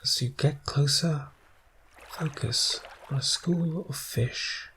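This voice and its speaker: A soft-spoken man narrating ASMR guided imagery in a soothing way, pausing between short phrases. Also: a little high, a little hiss or hum.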